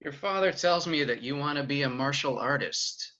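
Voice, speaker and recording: An actor reading a calm older kung fu master, with measured, proverb-like delivery at a comedic table read. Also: male voice, mid-range voice, lo-fi sound, lightly acted. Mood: solemn.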